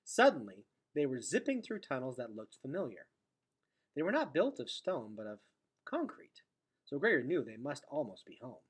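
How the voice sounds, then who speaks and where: A man reading a storybook aloud expressively, with dramatic delivery that drops into hushed, breathy tones. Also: medium pitch, wildly swooping pitch, moderate pace. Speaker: teacher reading aloud to students.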